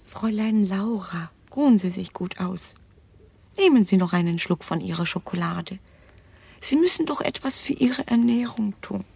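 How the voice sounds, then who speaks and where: An actress playing a fussing, caring governess in a radio drama, with a creaky, breathy voice. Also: female voice, medium pitch, lively intonation, moderate pace, darkish tone. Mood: caring, concerned. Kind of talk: German radio play acting.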